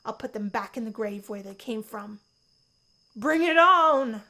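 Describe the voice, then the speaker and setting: Woman voicing a bright, flustered young college woman. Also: a little high, lively intonation, measured pace, full character voice. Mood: outraged. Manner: flustered, stammering when upset.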